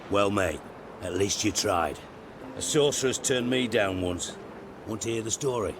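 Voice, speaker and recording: A man's voice: on the low side, unhurried, background noise, natural voice. A man playing a cynical, crude-tongued older spymaster in a video game cutscene, blunt and theatrical in delivery. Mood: wry storytelling.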